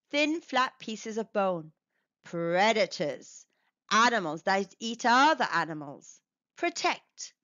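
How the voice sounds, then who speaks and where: A woman reading an educational children's book aloud as narrator, enunciating clearly in an expressive, teacherly way. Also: fairly high pitch, no room sound, highs cut off.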